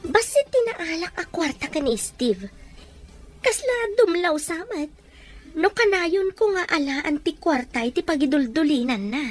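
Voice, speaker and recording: A woman's voice: sing-song, unhurried, soft background music, exaggerated voice. A woman playing a scheming, bossy wife in an Ilocano radio drama, with a Filipino accent. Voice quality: high-pitched. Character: scheming, bossy wife secretly poisoning her rich husband.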